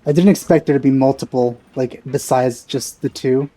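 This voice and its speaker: A woman's voice: rumbling low, moderate pace, warm tone. A woman giving casual, offhand commentary as she plays a video game, with a dry manner. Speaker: let's player.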